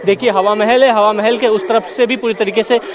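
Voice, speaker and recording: A man's voice: sits high, steady tone, a little fast. A man giving a live television field report as a news reporter on a street. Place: outdoors on a crowded city street. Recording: loud crowd noise around voice. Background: crowd.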